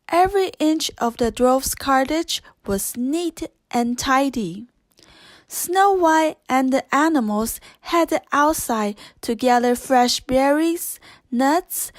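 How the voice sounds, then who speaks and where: Slow, high-voiced woman storyteller. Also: no room sound. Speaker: audiobook narrator. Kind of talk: scripted children's story narration.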